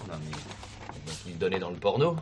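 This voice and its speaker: Police deputy, very low voice, stern, prim, objecting, stage play, faint noise. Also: male voice, big pitch swings, moderate pace. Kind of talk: French detective stage play acting.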